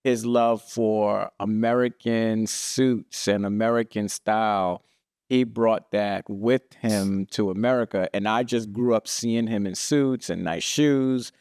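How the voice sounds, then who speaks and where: Gravelly-voiced New man, casual. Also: fairly low pitch, steady tone, takes it slowly, a little mellow, dry recording, clean studio sound. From Brooklyn, New York. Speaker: podcast co-host. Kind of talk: casual chat.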